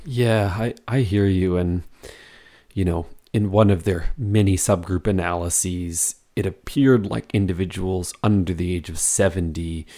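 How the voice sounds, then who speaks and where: Man, very low voice, wry, questioning, casual podcast host. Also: measured pace, warm tone. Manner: wry, joking, asks questions. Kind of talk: casual medical podcast hosting.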